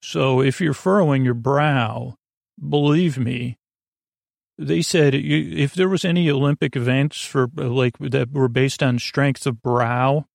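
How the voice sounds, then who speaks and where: Creaky-voiced man rambling a bedtime monologue. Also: mid-range voice, no room sound, studio quality. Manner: meandering, halting, self-interrupting, goofy. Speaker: podcast host. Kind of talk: rambling bedtime monologue to help listeners sleep.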